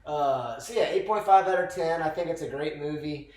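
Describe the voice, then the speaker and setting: A man hosting a casual movie review podcast, relaxed and rambling, often laughing. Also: a little high, normal speed. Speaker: podcast host. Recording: echoey room.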